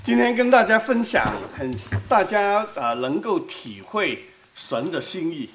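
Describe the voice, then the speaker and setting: A woman's voice: lowish voice, sing-song, measured pace, a little hiss or hum. Cantonese accent lady preaching, bouncy up and down voice, keeps asking the crowd stuff. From Hong Kong. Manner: conversational, asks the audience questions. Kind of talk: sermon with live interpretation.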